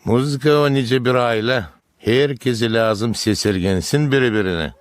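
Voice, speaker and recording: A man voicing a patient, encouraging music leader in a cartoon, with a measured, instructing manner. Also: mid-range voice, no echo, close to an everyday voice. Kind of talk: animated cartoon voice acting. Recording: music behind the voice.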